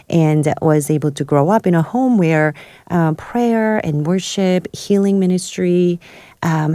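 A woman from South Korea speaks as a studio interview guest, her voice low and mellow. She tells her story in a halting way, full of ums and restarts. The sound is dry and close. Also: dry recording. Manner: hesitant, many fillers and restarts. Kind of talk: podcast interview, personal story.

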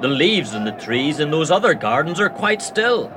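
A curious bystander in a crowd, in a radio play. He reasons things out, and his voice rises and falls a lot. Outdoor noise runs clearly under him. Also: a little high, very animated, moderate pace.

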